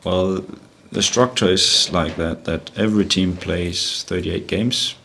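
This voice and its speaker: Deep-voiced Danish man, halting speech. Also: a bit bright, a little hiss or hum. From Denmark. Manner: halting, repeats words while searching for phrasing. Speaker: football manager. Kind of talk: press conference answers.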